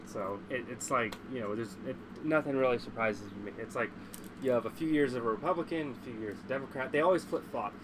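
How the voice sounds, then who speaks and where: A man's voice: mid-range voice, a little fast. Congested man livestreaming over background noise. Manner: blunt, profane, opinionated. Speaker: livestream host. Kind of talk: casual livestream chat.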